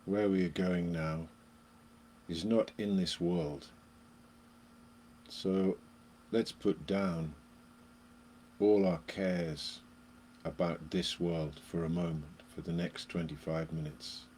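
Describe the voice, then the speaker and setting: A man leading a guided meditation, speaking in short phrases with long pauses between them. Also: low voice, steady tone, mellow, slight background noise.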